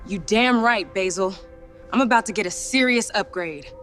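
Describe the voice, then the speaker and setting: A woman's voice: medium pitch, moderate pace, fairly bright tone, soft background music, lightly acted. A woman playing a bold young superhero-comic character in a motion comic. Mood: vengeful, cocky.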